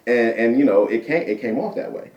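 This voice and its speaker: A man with a deep voice, a guest poet chatting casually in an interview, repeating himself and tagging points with "right?". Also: a little fast, muffled recording. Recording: roomy sound from a distant mic.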